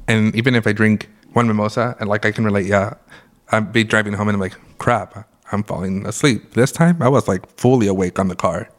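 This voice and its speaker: A young man co-hosting a casual podcast, talking with a raspy just-woke-up voice and joking and laughing as he tells stories. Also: on the low side, steady tone, fairly quick. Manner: joking, laughs often, rambling storyteller. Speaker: podcast co-host. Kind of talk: casual chat.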